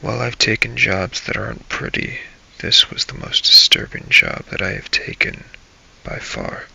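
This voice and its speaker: Man, heavy vocal fry, forceful edge, brooding halting story narration, faint hiss. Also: lowish voice, wildly swooping pitch, highs cut off. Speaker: host of a role-play gaming series. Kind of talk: scripted first-person story narration.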